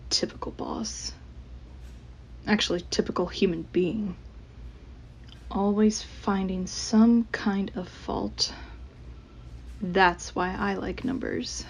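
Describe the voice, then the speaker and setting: An actress plays a shy, tired woman accountant in a radio mystery. She mutters and grumbles to herself in a guarded, sighing way, at a medium pitch, with some faint noise behind her. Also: steady tone, slow pace, pauses often, a little mellow. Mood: resentful. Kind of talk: radio mystery drama acting.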